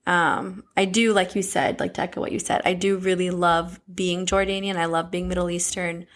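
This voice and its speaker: A woman's voice: on the low side, level tone, moderate pace. A woman from the United States co-hosting a podcast, talking earnestly with many fillers. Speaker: podcast co-host. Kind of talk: casual chat.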